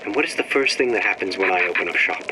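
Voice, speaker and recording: Sardonic, disillusioned shopkeeper. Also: male voice, deep voice, lively intonation, rapid-fire, background noise. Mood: bitter, wry. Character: disillusioned, grumbling shop owner keeping an audio diary.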